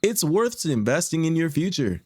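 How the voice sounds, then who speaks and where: A man playing a chirpy, smarmy infomercial pitchman in a parody shopping ad, with exaggerated salesman delivery. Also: big pitch swings, moderate pace, background noise. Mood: upbeat salesy.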